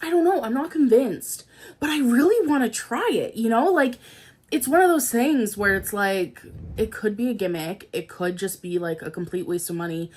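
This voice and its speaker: Young woman, beauty vlogger, nasal, congested, animated, rambling, lots of filler words. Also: mid-range voice. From Canada. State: congested from a cold, a bit nasal. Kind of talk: casual chatty product talk. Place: indoors at home, seated in a chair.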